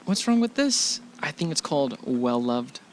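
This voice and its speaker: A man's voice: fairly high pitch, big pitch swings, moderate pace. A man playing an easygoing, affectionate husband on vacation in an audio drama, speaking casually with a joking manner. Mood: casual, teasing. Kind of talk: audio drama acting, conversational. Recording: faint background noise.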